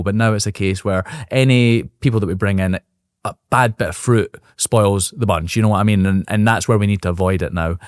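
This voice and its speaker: A man in his thirties speaks as a guest in a casual business interview, quick and upbeat, often restarting his sentences as he thinks aloud. His voice sits a little low and moves along at a brisk pace. The sound is dry and close, with no room around it. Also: dry recording. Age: 30s. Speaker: guest, real estate brokerage owner.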